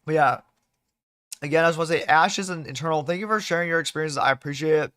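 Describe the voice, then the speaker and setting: A male game streamer talks casually to his chat in a somewhat high, slightly hoarse voice. He speaks haltingly, often doubling back to restart a phrase. The sound is dry, with very little room in it. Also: fairly bright tone, no room sound. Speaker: livestream host, gamer.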